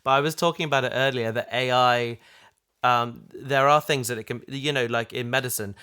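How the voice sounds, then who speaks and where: A man chatting casually and thoughtfully, feeling his way through ideas in halting phrases. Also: medium pitch, steady tone, bright, forceful tone, clear, resonant tone. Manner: halting, thoughtful, repeats himself. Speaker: podcast host, audio drama voice actor. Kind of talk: casual chat, discussion.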